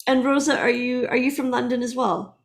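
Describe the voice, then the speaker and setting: An upbeat, chatty woman hosts a relaxed interview with musicians. Her voice sits a little high and she laughs easily between questions. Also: brisk. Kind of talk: casual video-call interview.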